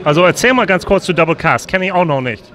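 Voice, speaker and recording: A man's voice: fairly high pitch, fast talker, a bit bright. A man in his forties with an American accent and English as his first language, interviewing casually and jokingly into a handheld microphone. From United States. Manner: informal, joking, encouraging. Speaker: interviewer, whisky video host. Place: whisky fair stand.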